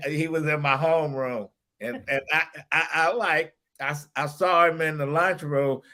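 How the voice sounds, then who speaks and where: A man's voice: a little high, fairly even intonation, normal speed, bright, forceful tone. A middle-aged man from the Detroit area hosting a podcast, talking boastfully and stumbling over his words. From Detroit area, Michigan. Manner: boastful, stumbling, laughs at own jokes. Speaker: podcast host. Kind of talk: casual podcast chat.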